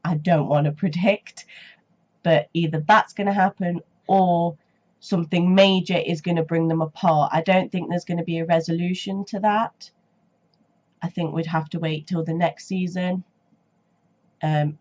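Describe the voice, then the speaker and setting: A young woman talking casually on her reaction vlog, her voice stuffy and a little rough from a cold. She speaks low and soft, rambling with lots of ums and restarts. The recording sounds dull and lo-fi. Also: fairly even intonation, moderate pace. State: stuffy nose and sore throat from a cold. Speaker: reaction channel host.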